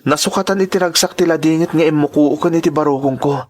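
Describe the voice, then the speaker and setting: Earnest, grateful young male student, played by a man, Ilocano accent, slightly high register, heartfelt drama delivery. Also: fast talker, darkish tone, close to an everyday voice. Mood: solemn, heartfelt. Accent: Filipino, Ilocano. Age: young adult. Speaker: drama actor. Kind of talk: sentimental radio-style drama acting.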